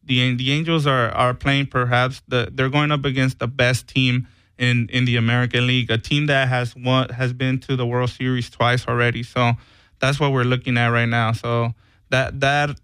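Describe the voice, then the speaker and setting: A man's voice: mid-range voice, level tone, moderate pace, a bit bright, smooth, no echo. A man co-hosting a sports radio show, talking casually with lots of fillers and restarts. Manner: hesitant, many fillers and repeated words.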